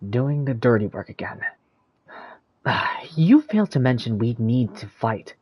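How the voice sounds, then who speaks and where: An actor playing a calm, skeptical young traveler in an anime game, understated and wry. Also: mid-range voice, a little slow, highs cut off, a little hiss or hum. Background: music.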